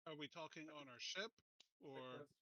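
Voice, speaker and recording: A man's voice: a little high, unhurried, sharp tone, dry recording, poor audio. A man playing a tabletop roleplaying game, talking casually with the group. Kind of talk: casual discussion during a game.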